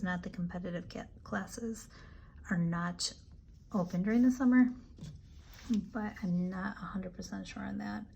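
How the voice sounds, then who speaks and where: A woman with a raspy, allergy-hoarse voice chatting casually as she decorates her planner, relaxed and full of ums. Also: fairly low pitch, slight background noise, low-quality recording. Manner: slow, relaxed, chatty with frequent ums and asides. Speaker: planner vlogger. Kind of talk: casual plan-with-me narration.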